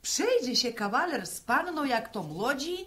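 Shrill, nosy village gossip. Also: female voice, big pitch swings, a bit bright, full character voice. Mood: sly gossip. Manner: sing-song, insinuating, drawn-out folksy gossip. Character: nosy, gossipy village neighbour woman, sly and chatty. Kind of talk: 1960s Polish radio serial acting.

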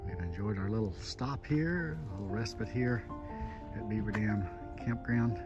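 A man's voice: lowish voice, normal speed, muffled recording, over music. A man in his sixties, talking casually and easily, with many "uh" fillers, as he films outdoors on a phone held at arm's length. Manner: relaxed, easygoing, with frequent "uh" fillers. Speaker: RV travel vlogger. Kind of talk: casual travel vlog narration. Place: outdoors at campground, gas station and driveway.

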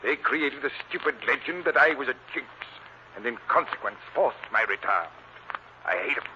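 An actor playing a vain, bitter retired stage star and villain in a radio drama, with grandiose theatrical delivery. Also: male voice, mid-range voice, lively intonation, normal speed, bright, forceful tone. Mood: proud, bitter. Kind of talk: old-time radio drama acting. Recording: dull, noisy old radio recording.

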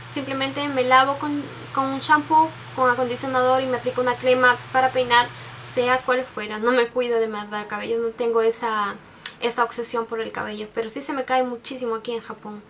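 A woman, chatting softly and answering viewer questions into a webcam, with many restarts. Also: fairly high pitch, little pitch movement, fairly quick, a little hiss or hum. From Peru. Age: 20s. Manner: soft, sentimental, many restarts and fillers, reads out questions. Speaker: beauty vlogger. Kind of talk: casual Q&A tag video. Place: bedroom with makeup shelves, indoors. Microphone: webcam at desk.

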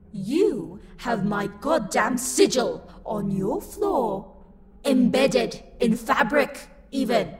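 A woman's voice: sits high, slight background noise, full character voice. A woman playing a haughty, sassy, livid female demon in a dramatic roleplay monologue, indignant and imperious. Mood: indignant, demanding. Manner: indignant, imperious, loud outbursts. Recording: long reverb, music behind the voice. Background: music.

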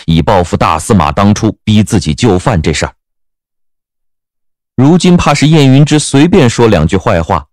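A man narrating a scripted story in a wry, expressive manner. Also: fairly low pitch, no echo, studio quality.

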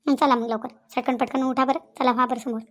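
A woman's voice: high voice, fairly even intonation, quick pace, a bit bright. A woman playing a middle-aged, insistent village sister-in-law in a Marathi cartoon comedy, with a Vidarbha accent, coaxing and scolding repetitively. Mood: firm persuading. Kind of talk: cartoon family comedy dialogue.

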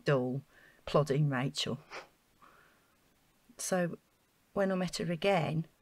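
An actress playing an anxious, self-doubting woman in an audio drama, speaking in a flustered, halting way. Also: deep voice, a little hiss or hum. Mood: wistful, downcast.

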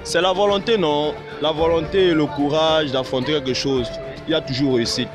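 A man's voice: fairly high pitch, steady tone, brisk. A young man, answering a street interview outdoors with brash confidence. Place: outdoor campus courtyard. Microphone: interviewer's phone held out. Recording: loud background music.

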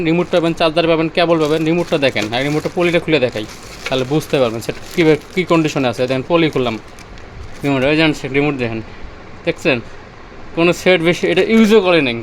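A Bangladeshi man pitches goods in an unscripted, informal demo. His voice sits a little high and he talks very quickly, often repeating himself. Some urban background noise runs behind him. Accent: Bangladeshi Bengali. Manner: repetitive, pointing things out as he goes. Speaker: seller demonstrating used car audio-video equipment. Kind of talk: informal sales demo, off the cuff.

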